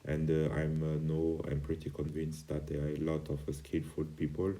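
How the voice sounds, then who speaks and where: A man from Cameroon with an extremely deep voice teaches an informal online lesson. He speaks as a non-native speaker, in halting, hesitant phrases. His intonation stays fairly flat and his tone is very dark and mellow. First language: not English. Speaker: company CEO, online course instructor.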